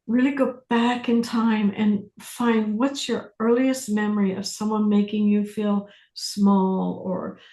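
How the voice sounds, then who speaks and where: A woman facilitating a self-inquiry class, speaking deliberately with pauses, questions and phrases repeated for emphasis. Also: medium pitch, little pitch movement, dark tone. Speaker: workshop facilitator leading a group class. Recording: roomy echo on the voice.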